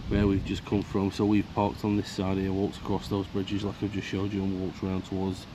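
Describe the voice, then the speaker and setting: A man narrating a casual walk around a park fishing lake, croaky from illness, chatty and grumbling about the council. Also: low voice, completely flat delivery, fairly quick. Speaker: angler vlogger. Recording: outdoor camera audio with faint background noise.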